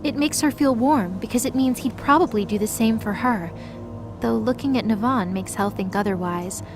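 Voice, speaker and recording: A woman's voice: a little high, moderate pace, over music. A woman reading scripted narration for a mythological audio drama. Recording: clearly noisy background.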